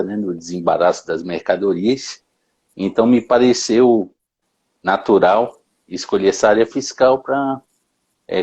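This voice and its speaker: A man, answering interview questions in a relaxed way, often adding tag questions. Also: lowish voice, breathy edge. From Bahia, Brazil. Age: 30s. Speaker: interview guest.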